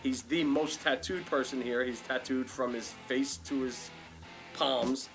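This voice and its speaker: Male shop owner for reality interview, blunt talker, mid pitch, prominent guitar bed. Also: fairly even intonation, a little slow. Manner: blunt, swearing. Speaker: tattoo shop owner introducing the crew. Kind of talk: reality show interview talk. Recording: music behind the voice. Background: guitar music.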